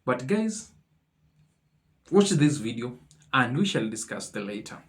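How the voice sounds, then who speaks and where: A man hosting a commentary video, introducing clips in a halting way with frequent pauses. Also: medium pitch, sing-song. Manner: halting, many uh fillers. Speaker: video host.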